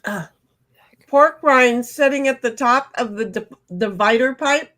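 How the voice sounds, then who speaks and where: A woman's voice: measured pace, fairly bright tone. A woman streaming a card game, chatting and rambling from a hot room at home. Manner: chatty, rambling, self-described high pitchy voice. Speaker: streamer playing online cards with friends. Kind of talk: casual chat while gaming.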